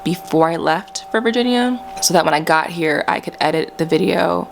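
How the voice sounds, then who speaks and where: A woman's voice: lowish voice, moderate pace, creaky, slight background noise. A young woman vlogging in a casual, chatty and informal way, using slang and addressing her viewers as friends. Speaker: book vlogger. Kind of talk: casual vlog chat.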